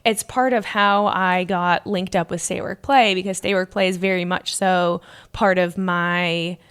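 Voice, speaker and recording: A woman's voice: lowish voice, fairly even intonation, measured pace, a bit bright, smooth. A woman in her early thirties from New Hampshire, a nonprofit leader telling her story in a chatty, enthusiastic way. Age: 30s. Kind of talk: casual interview conversation.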